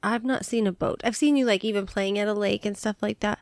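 A middle-aged woman with a slightly wheezy voice chats as a podcast co-host. Her tone is playful and teasing, at a mid pitch, and the recording is close and dry. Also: fairly even intonation, a little fast, no room sound. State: wheezy from asthma. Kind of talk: casual chat.

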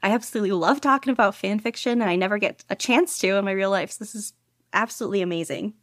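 Enthusiastic woman guest, chatty, giggly, mid pitch, dry close sound. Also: fast talker, no room sound. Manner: gushing, lots of "like" and laughter. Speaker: guest podficcer. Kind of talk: casual interview chat.

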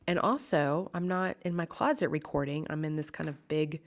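A woman hosting her own podcast, chatting in a bubbly, upbeat way. She is stuffed up and a little raspy from allergies and is recording in a large room with stucco walls. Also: low voice, normal speed. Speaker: podcast host, nutritionist. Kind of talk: casual solo podcast talk.

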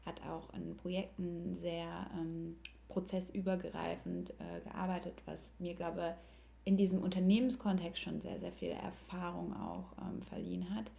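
A woman's voice: fairly low pitch, fairly even intonation, moderate pace, creaky, warm tone. A young woman chatting casually and reflectively as a podcast guest. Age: young adult. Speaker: podcast guest, yoga teacher and coach.

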